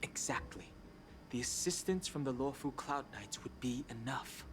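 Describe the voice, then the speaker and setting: A man voicing a calm, loyal young companion in a video game, earnest, analytical and level-headed. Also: mid-range voice, normal speed, whispered, close to an everyday voice. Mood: serious, resolute. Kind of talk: scripted video game voice acting. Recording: loud game music behind.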